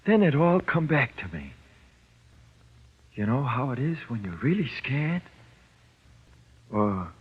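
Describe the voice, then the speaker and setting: A man's voice: mid-range voice, breathy edge. An actor playing a frightened jailed gangster in an old-time radio crime drama, with slangy, ungrammatical tough-guy talk that cracks into pleading, on a muffled old recording. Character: frightened, panicky jailed gangster, afraid of the dark.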